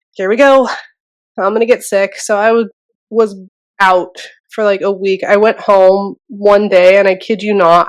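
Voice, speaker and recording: A woman in her thirties co-hosting a podcast, nasal from a cold, relaxed and joking in casual chat. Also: mid-range voice. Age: 30s. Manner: laughs easily, relaxed and joking. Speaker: podcast co-host.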